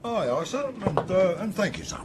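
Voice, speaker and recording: Eager, high-pitched ship's cook. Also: male voice, sing-song, moderate pace, background noise. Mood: servile, eager. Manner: obsequious, eager. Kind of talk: radio drama acting.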